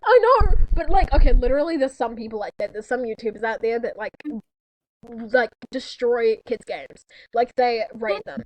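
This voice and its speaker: Teen female co-host, very high voice, lively swooping pitch, rambling casual banter with sarcastic asides. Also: normal speed, low-quality recording. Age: teens. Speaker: co-host of a fanfiction reading. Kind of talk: casual chat with mocking read-aloud commentary.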